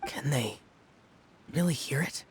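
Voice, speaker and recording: An actor voicing a reserved, brooding young man in a video game, earnest, hushed and hesitant. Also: mid-range voice, animated, a little slow, slight background noise, natural voice. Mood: quiet, earnest.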